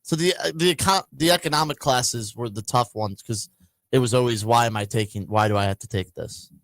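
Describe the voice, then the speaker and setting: A man's voice: medium pitch, a little fast, no room sound. A young man in his twenties co-hosting a talk show with wry, self-mocking banter. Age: 20s. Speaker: co-host. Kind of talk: casual sports talk chat.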